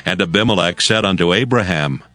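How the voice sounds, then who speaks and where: A man giving a scripted, solemn and measured narration of scripture. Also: low-pitched, dry recording. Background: soft music.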